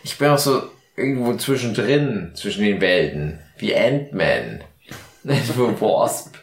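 Echoey, rambling tipsy man, low voice. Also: expressive, slow pace. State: drunk. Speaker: podcast host. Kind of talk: casual comedic podcast chat. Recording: echoey, roomy sound.

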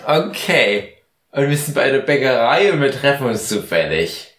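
A man's voice: medium pitch, very animated, moderate pace, fairly bright tone, reverberant. A man co-hosting a podcast, drunk, bantering casually in a theatrical, clowning way. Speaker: podcast co-host.

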